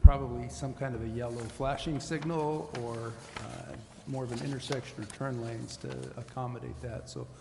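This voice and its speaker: A man speaking as a city official at a public meeting in a formal, measured and hesitant way, choosing his words carefully. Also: mid-range voice, fairly even intonation, gravelly. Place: large indoor council chamber. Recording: echoey meeting chamber, faint hiss.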